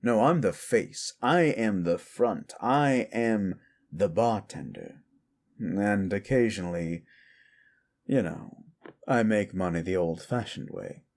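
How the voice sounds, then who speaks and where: A man's voice: lowish voice, animated, takes it slowly, a little mellow. A man voicing an easygoing, wry and knowing bartender in an audio drama. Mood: wry, confiding. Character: easygoing, wry male bartender of monster sanctuary bar. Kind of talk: amateur audio drama dialogue.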